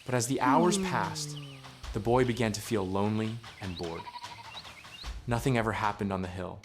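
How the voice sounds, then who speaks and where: A man reading a scripted children's story in a measured storytelling manner. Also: lowish voice, noisy background. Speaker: narrator.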